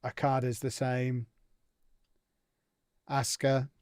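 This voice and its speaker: A man's voice: mid-range voice, monotone, slow pace, a little mellow, dry recording, clean studio sound. A man streaming a football management game, chatting casually and reacting to viewer comments with amused indignation. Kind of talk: casual live-stream chat while playing.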